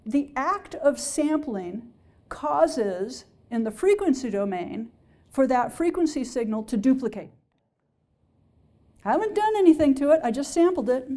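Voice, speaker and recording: A woman's voice: sits high, animated, unhurried, warm tone. A woman professor giving a public science lecture, explaining step by step and checking in with her listeners. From Alaska-based. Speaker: engineering professor. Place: lecture hall.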